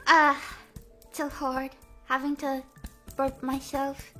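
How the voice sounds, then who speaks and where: A young woman from the Midwest chatting playfully on a live stream, answering chat guesses, full of "like" fillers and drawn-out vowels. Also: sits high, pushed and bright. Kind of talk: casual live-stream chat reading comments.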